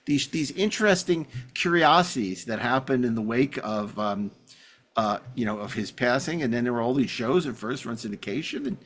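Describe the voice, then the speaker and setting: A man hosting a casual chat show, speaking haltingly and repeating his phrases. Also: medium pitch, expressive, a bit bright. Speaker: podcast host.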